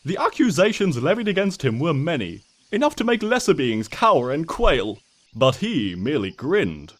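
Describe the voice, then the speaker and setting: A man's voice: on the high side, sing-song, normal speed. A man voicing a smug, mock-innocent trickster creature who narrates a comic storybook tale in a pompous, wry, theatrical way.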